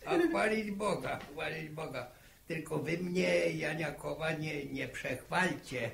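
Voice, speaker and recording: Old country grandpa in radio play, gravelly, slow, jolly and rambling. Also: male voice, a little high, fairly bright tone, heavily acted. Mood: cheerful greeting. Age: older. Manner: folksy, halting, repeats himself. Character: jovial old village grandfather, full of sayings. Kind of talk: 1960s Polish radio drama acting.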